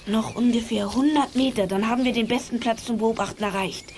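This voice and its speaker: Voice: mid-range voice, a little fast, a little mellow, a little hiss or hum, natural voice. A performer playing a bold, watchful child detective in a children's radio play, urgent, bossy and conspiratorial. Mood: tense, secretive. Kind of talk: 1980s German children's radio play acting.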